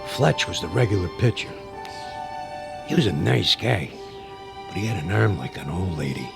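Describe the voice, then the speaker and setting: A chatty American old-timer in a radio play, reminiscing about baseball in a folksy, rambling way. His low, creaky voice swings freely up and down. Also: animated, normal speed, over music. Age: older. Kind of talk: radio drama acting.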